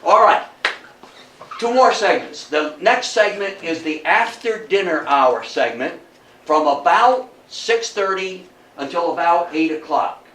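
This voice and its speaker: Higher-voiced older man lecturing in an echoey room. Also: measured pace. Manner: animated storytelling, repeats phrases for emphasis, checks in with "okay". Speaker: lecturer at a historical society talk. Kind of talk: informal lecture to an audience. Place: indoor talk room with audience. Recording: echoey room, distant mic, background noise.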